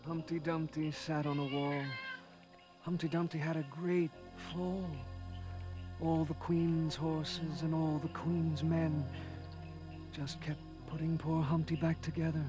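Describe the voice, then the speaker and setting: Eccentric villain's henchman, animated series: whimsical, sly, musing, very unhurried, music underneath. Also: fairly high pitch. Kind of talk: animated action series dialogue.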